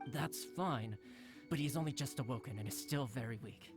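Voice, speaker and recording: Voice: mid-range voice, moderate pace, close to an everyday voice. An actor playing a plain-spoken caretaker of the sick in a video game. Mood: concerned. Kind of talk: scripted video game voice acting. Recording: game music behind the voice.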